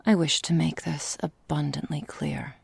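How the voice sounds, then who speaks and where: A woman playing an ancient, possessive vampire mistress in an ASMR roleplay, speaking in a breathy, husky half-whisper with deliberate, formal phrasing. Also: deep voice, fairly even intonation, no echo, heavily acted. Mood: solemn, coaxing. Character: female vampire mistress, ancient, possessive, seductively menacing, melancholy. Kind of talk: ASMR roleplay, intimate dramatic monologue.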